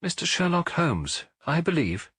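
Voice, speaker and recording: A man's voice: on the high side, big pitch swings, unhurried, fairly bright tone, husky, dry recording, clean studio sound, pitched above the performer's own voice, heavily acted. A man voicing a sardonic elderly female servant who speaks formally and curtly in an audiobook. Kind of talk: audiobook character acting.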